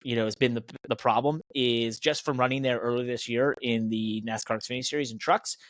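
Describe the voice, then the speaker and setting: A man's voice: medium pitch, steady tone, a little fast, dry recording. American man, emphatic podcast co-host, close dry sound. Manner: emphatic, opinionated. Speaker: podcast co-host and professional racing driver. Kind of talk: casual opinionated motorsport podcast chat.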